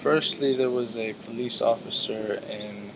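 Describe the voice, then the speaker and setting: A man in his twenties answering interview questions in a hesitant, measured way with fillers. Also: medium pitch, fairly even intonation, noisy background. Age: 20s. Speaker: interview guest.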